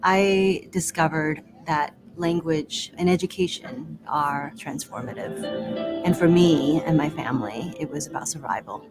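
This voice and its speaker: A woman from Vietnam telling her personal story in a measured, earnest way for a promotional video. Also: low-pitched, rough recording. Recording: voice over background music. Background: music.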